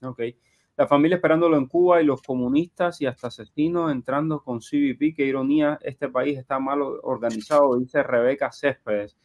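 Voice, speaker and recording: A man's voice: mid-range voice, steady tone, moderate pace, dull audio. A man hosting a live question-and-answer show, reading audience questions aloud in a conversational way. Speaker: live show host and journalist.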